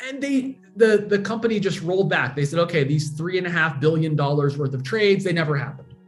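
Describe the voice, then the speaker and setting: A man's voice: on the high side, animated, a little fast, faint music behind. A man, a guest on a remote interview, patiently explaining technology with everyday examples. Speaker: guest, blockchain educator. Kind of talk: casual explanatory interview talk.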